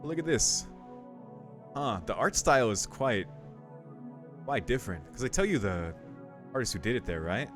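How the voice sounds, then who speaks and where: A man's voice: medium pitch, big pitch swings, normal speed, pauses often, faint music behind. A man giving casual, laid-back commentary as he plays through a game, murmuring short reactions. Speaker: video game streamer.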